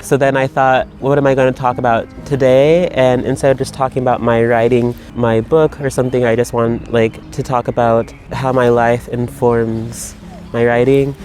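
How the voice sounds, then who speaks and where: Man, mid-pitched, rambling, reflective, lots of fillers, faint background music. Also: little pitch movement, normal speed, darkish tone. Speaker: writer. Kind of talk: personal video monologue. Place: Berlin.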